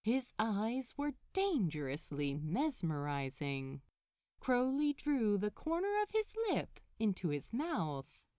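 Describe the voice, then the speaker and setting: Female story narrator, very animated intonation, measured pace, close dry sound. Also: mid-range voice, no room sound. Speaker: podfic narrator. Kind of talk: expressive scripted fiction narration.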